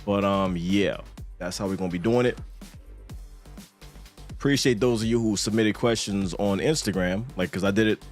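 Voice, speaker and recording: A man's voice: on the low side, moderate pace, long pauses. A man hosting a casual livestream podcast, joking and swearing as he chats. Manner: joking, profane banter. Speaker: podcast host. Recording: music playing behind. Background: background music.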